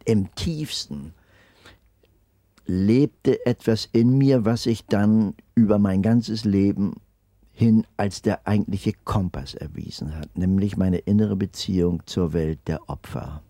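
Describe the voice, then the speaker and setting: An older man speaks slowly and deliberately, leaning hard on key words before his voice sinks to a near-whisper at the ends of phrases. His voice is low and gravelly, with a dark, mellow timbre. The recording is close and dry. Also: no room sound. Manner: slow, deliberate and emphatic, trailing off into near-whispers. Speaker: interviewee. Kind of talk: reflective testimony interview.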